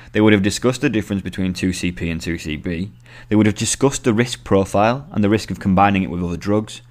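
Low voiced guy reading out his own article, scripted journalism narration.

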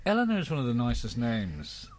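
A man's voice: medium pitch, huge pitch swings, brisk, darkish tone, a little hiss or hum. A man hosting a podcast who chats casually and teases his guests drily. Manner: teasing, dry. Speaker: podcast host.

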